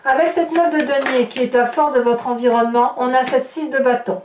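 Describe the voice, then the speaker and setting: High-voiced woman in an echoey room. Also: steady tone, a little fast, a little mellow, slight background noise. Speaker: tarot reader hosting a horoscope channel. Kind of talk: informal tarot reading narrated to viewers. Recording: roomy echo, distant microphone.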